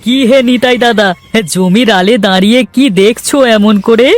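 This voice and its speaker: A man's voice: high-pitched, moderate pace, close to an everyday voice. A man playing a gossipy village neighbour in a cartoon, chatty and confiding, with a cautioning manner. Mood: nosy, chatty. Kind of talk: Bangla cartoon voice acting.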